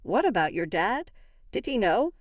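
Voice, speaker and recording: Woman acting an indignant wronged wife, lively intonation. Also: mid-range voice, normal speed, dry recording, muffled recording. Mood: bitter, firm. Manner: argumentative, emphatic. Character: wronged, indignant young wife confronting her in-laws. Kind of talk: acted dialogue in a narrated story.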